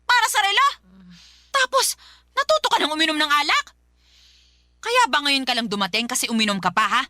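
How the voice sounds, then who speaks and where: A woman's voice: high-pitched, animated, fairly quick, no echo, clean studio sound. An actress playing a domineering, nagging mother with a Filipino accent who scolds her grown daughter in a radio drama. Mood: angry interrogating. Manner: sharp, scolding, interrogating.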